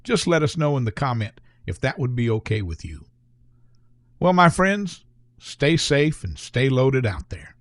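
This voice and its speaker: A man's voice: medium pitch, big pitch swings, a little slow, no echo, clean studio sound. A middle-aged American man in a scripted narration, speaking in a no-nonsense, folksy way as a trucking channel host.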